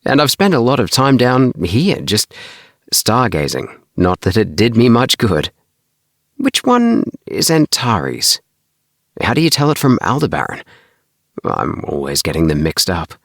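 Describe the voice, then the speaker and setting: An eager young man travelling through space, voiced by a male audiobook reader. He is friendly and talkative, pitched up from the reader's deep register, in a dry, close recording. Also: wildly swooping pitch, a little slow, fairly bright tone, no room sound, heavily acted. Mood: cheery, chatty. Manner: chirpy, friendly.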